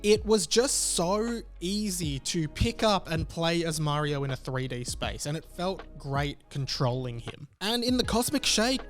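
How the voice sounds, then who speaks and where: A man in his thirties narrating a video essay as host, conversational, enthusiastic and emphatic. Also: high-pitched. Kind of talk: semi-scripted video essay narration to camera.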